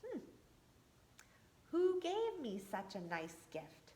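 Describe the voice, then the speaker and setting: Brief: woman reading as a thoughtful young wooden girl, unhurried storybook voice. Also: high-pitched, huge pitch swings, dark tone, echoey room, exaggerated voice. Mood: wondering. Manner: wondering, thoughtful. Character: curious young female wooden villager.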